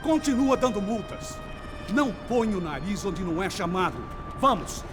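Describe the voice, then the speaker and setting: Under loud music, a bullying Brazilian police commander in a game. The voice is high and swings forcefully, brusque and used to giving orders. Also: male voice, expressive, brisk. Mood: angry, contemptuous. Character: aggressive, domineering Brazilian male police commander. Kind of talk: game cutscene dialogue.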